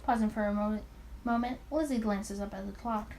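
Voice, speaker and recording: A woman's voice: medium pitch, fairly even intonation, brisk, darkish tone. Woman reading aloud over background noise. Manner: stumbles over words and repeats them. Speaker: gaming video creator reading a visual novel aloud. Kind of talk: expressive read-aloud of a visual novel.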